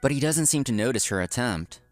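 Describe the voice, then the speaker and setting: A man's voice: mid-range voice, lively intonation, fairly quick, soft background music, close to an everyday voice. A man playing an earnest, polite office worker who narrates his own story in a comic dub. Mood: narrating. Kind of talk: scripted first-person narration, comic dub.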